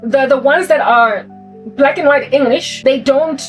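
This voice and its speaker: A woman's voice: high-pitched, measured pace, far from the mic, soft background music, rough recording. A South African woman with a slight American tinge to her accent, rambling through an unscripted monologue full of rhetorical questions and restarts. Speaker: vlogger.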